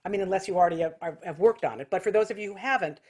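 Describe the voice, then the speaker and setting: A woman's voice: on the low side, rapid-fire, a little mellow, husky. A woman giving an informal singing lesson as a vocal technique teacher, explaining things with vivid imagery.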